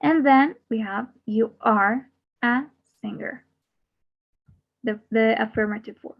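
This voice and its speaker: A woman's voice: a little high, slow, gravelly. A woman teaching an English grammar class, repeating each example and then translating it. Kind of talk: bilingual grammar lesson. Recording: muffled lo-fi audio.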